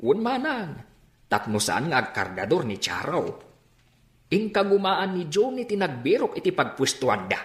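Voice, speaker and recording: A man's voice: fairly high pitch, wildly swooping pitch, moderate pace, a bit bright, roomy sound. An actor playing a chatty man sharing family news in a radio drama.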